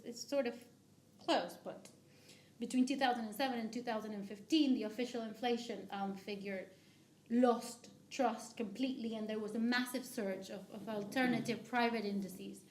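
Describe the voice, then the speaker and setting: Woman from argentina doing academic commentary, lots of ums and restarts, bit high, roomy echo. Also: normal speed, a little hiss or hum. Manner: hesitant, many restarts and fillers. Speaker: discussant at a book talk.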